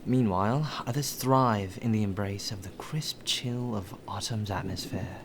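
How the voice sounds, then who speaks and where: A man's voice: fairly low pitch, measured pace, lightly acted. A man playing a polite, deferential butler in an ASMR roleplay, with formal, flowery phrasing. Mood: polite, chatty. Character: courteous, well-spoken male butler, deferential and appreciative. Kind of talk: ASMR roleplay acting, addressed to the listener. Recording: rain ambience and clear background noise.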